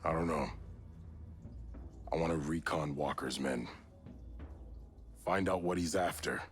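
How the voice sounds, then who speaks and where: Very deep, serious soldier. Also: male voice, a little slow, soft background music. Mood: businesslike. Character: earnest, resolute male soldier turned revolutionary believer. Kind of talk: video game cutscene acting.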